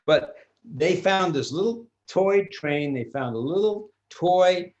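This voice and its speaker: An older man improvising a children's story like a grandpa, theatrical, with pauses and restarts. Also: sits high, lively intonation, a little mellow, dull audio.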